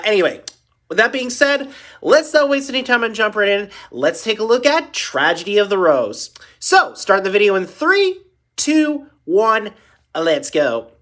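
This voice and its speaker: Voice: medium pitch, fairly bright tone. A reactor with a stuffy nose from allergies, chatting casually while watching an episode. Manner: chatty, sympathetic asides. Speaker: video reactor.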